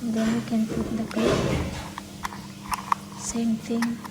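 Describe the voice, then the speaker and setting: A woman's voice: on the high side, completely flat delivery, slow, heavy background noise. A Filipino-accented woman, native in Tagalog, giving a casual product demonstration, talking through each step in short fragments. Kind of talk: casual unboxing and testing demonstration.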